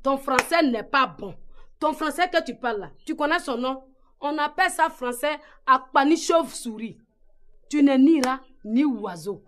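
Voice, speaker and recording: A woman's voice: high voice, lively intonation, normal speed. A woman playing a mother who scolds and mocks someone's poor French. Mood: scolding. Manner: mocking, scolding. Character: scolding mother proud of her mother tongue.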